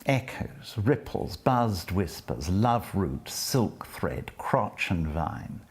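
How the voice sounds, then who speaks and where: Slow, low-voiced man reading poetry. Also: sing-song. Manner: measured, deliberate delivery with dropping phrase ends. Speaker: poetry reader and lecturer. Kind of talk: spoken introduction and poetry reading.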